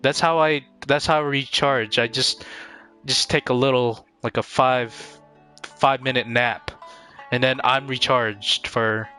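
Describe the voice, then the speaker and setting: A man's voice: medium pitch, fairly even intonation, measured pace, bright, forceful tone. A man giving casual live-stream commentary on a game, rambling, repeating phrases and trailing off. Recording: game music behind. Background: game music.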